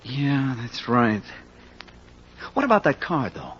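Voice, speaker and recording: An actor playing a protective, earnest amateur sleuth in an old-time radio mystery, speaking insistently. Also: male voice, mid-range voice, very animated, takes it slowly, a bit bright, breathy edge, exaggerated voice. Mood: puzzled. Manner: indignant, insistent. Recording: muffled, faintly noisy old radio recording.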